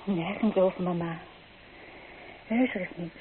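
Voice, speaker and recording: A woman's voice: fairly low pitch, measured pace, natural voice. On a thin, telephone-like old radio recording with faint noise, a young bride-to-be played by an actress pours out her heart. She is romantic and restless, impassioned and poetic, in the manner of classic radio drama. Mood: evasive. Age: young adult.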